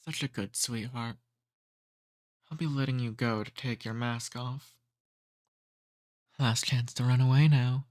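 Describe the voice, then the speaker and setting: Guy doing a flirty ancient vampire for asmr, real slow and teasing, kinda bossy but courtly, super dry close sound. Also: medium pitch, steady tone, a little mellow, no room sound, lightly acted. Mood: seductive, teasing. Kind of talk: intimate ASMR roleplay.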